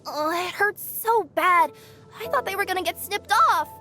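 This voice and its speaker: An actress voicing a cheerful young female cat-yokai courier for a video game, bubbly and bashful, quick to fluster. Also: extremely high pitch, pushed and bright, heavily acted. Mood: chatty. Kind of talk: video game character voice lines.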